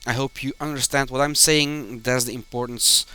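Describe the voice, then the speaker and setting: A man from Belgium, a native Dutch speaker with a Belgian Dutch accent, commentates a live game stream in halting, short phrases. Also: medium pitch, fairly even intonation, sharp tone, clean tone. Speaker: esports match commentator, community manager.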